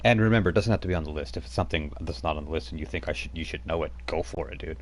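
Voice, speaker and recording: A man's voice: a bass voice, racing, a little hiss or hum. A man acting as game master, casually explaining tabletop rules step by step.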